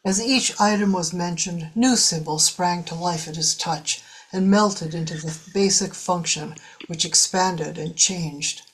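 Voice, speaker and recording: A woman's voice: fairly low pitch, unhurried, few pauses, slightly rough, low-quality recording. A woman reading scripted narration for a radio drama as its narrator.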